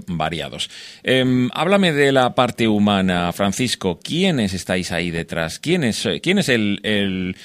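Radio interviewer, lowish dry voice, halting questions, lots of "ujú". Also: male voice, no room sound.

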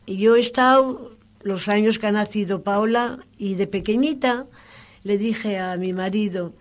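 An older woman from Cantabria in Spain, recalling her life in a halting, repetitive way during an oral history interview. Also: mid-range voice. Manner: halting, repetitive, wandering recollection.

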